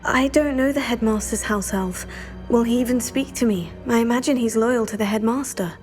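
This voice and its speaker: A woman's voice: fairly high pitch, moderate pace, darkish tone, faint music behind, close to an everyday voice. An actress playing an earnest, curious young student witch, in a video game cutscene.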